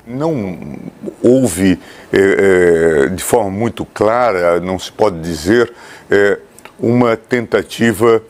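A man with a Brazilian accent speaking hesitantly and deliberately. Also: sits low, a little mellow. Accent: Brazilian Portuguese. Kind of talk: interview remarks.

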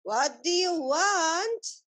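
Woman as little folk-tale man, cheeky, carefree, teasing, very high voice, slow. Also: expressive, fairly bright tone, heavily acted. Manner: unhurried, teasing.

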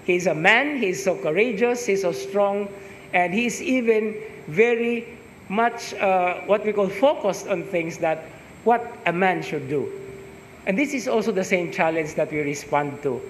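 Middle-aged Filipino priest, Cebuano accent, high tenor voice, reflective homily style with tag questions, masked at an altar mic in a reverberant church. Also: male voice, a little slow. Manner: reflective, mixes English with Cebuano, tag "no?" after points. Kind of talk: homily at Mass. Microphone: stand mic in front, wearing a face mask. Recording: echoey church with long reverb, faint hiss.

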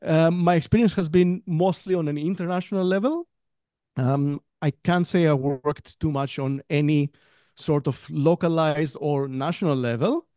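Mellow-voiced man on a call. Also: on the high side, moderate pace, no echo, clean studio sound. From Greece. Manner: friendly, rambling, laughs between phrases. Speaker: guest trainer. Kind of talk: casual webinar interview. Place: home room, on a video call. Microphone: headset with desk mic.

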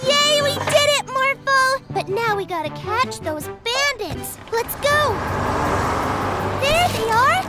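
A woman's voice: very high voice, very animated, slow pace, loud music behind the voice, exaggerated voice. A woman voicing a cheerful, determined little girl with an eager way of talking in a children's cartoon. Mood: excited. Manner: eager, exclamatory.

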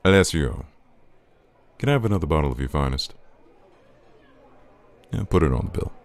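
An actor playing a suave, teasing, flirtatious man in an audio roleplay, smooth-talking and informal. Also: extremely low pitch, expressive, normal speed, a faint crowd. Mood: genial, sociable.

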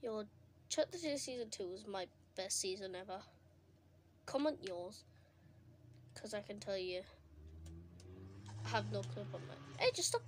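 A young woman giving casual, excitable commentary while playing a console game, her voice going a bit hoarse. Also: medium pitch, moderate pace, pauses often, slight background noise. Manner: chatty, excitable, reacting to the game. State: losing her voice. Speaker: gaming streamer. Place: indoors at a console. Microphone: phone filming a TV screen.

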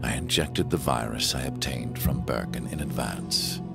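An actor playing a cold, vengeful villain who narrates his schemes in a measured, menacing, self-assured way in a video game. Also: over loud music. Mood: cold, calculating. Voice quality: very deep, gravelly.

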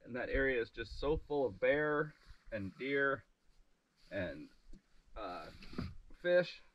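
A man in his thirties, slightly hoarse from a cold, chatting in a relaxed, upbeat way with lots of fillers while filming himself outdoors on a dock by a bay. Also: medium pitch, takes it slowly, muffled recording. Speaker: sawmill owner vlogging his workday. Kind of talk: casual outdoor vlog. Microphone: action camera held at arm's length.

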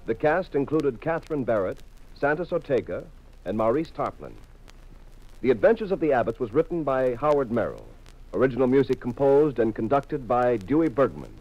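A man's voice: mid-range voice, very animated, moderate pace, darkish tone, highs cut off, faint music behind. A man announcing a 1950s network radio show in a formal, polished, scripted style.

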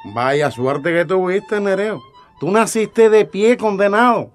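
A shifty little sidekick, radio drama, really high voice, faint music behind. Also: male voice, expressive, slow pace. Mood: teasing. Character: shifty male crony, wheedling and timid.

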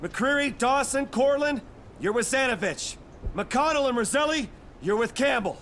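An actor playing an army squad leader in a war game, giving clipped orders in a commanding manner. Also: male voice, very high-pitched, animated, normal speed, fairly bright tone, slight background noise, exaggerated voice. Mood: urgent, commanding. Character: commanding male squad leader rallying soldiers. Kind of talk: dramatic game cutscene acting.

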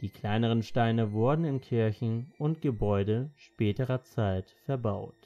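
A man narrates from a documentary script. His voice is dark and mellow at an average male pitch, with faint music playing behind it. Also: a little slow, muffled recording.

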